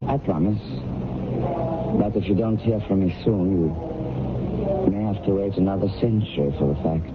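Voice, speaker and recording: Casting: actor for grave, troubled man in a radio mystery, lowish pitch, very narrow-band sound. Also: normal speed, long pauses, lightly acted. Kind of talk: 1970s radio drama acting. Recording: tinny old radio recording with music.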